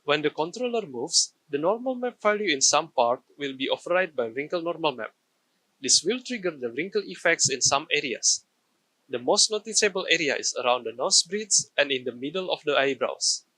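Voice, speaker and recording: A man's voice: fairly high pitch, lively intonation, measured pace, sharp tone, slightly rough, no echo, rough recording. A man giving a scripted technical presentation, explaining carefully step by step. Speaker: game studio artist presenting a workflow.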